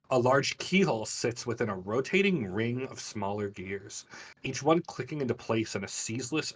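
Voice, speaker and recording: A man's voice: lowish voice, slightly rough. A man runs a tabletop fantasy game as its narrator. He describes scenes richly and theatrically. The microphone sounds some distance from him. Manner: descriptive storytelling with dramatic detail. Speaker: game master. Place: live online game stream.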